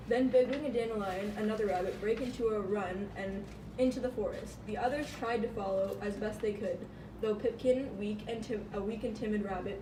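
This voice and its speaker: Female puppet show narrator, scripted read with small stumbles, far-off mic and clear background noise. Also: mid-range voice. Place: room, live amateur puppet show. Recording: echoey, noisy room, far from mic.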